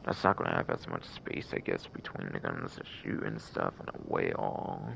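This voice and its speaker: A man's voice: very deep voice, sing-song, normal speed, vocal fry, over music. A man giving casual live game stream commentary in a rambling, repetitive way full of filler words, with some casual swearing.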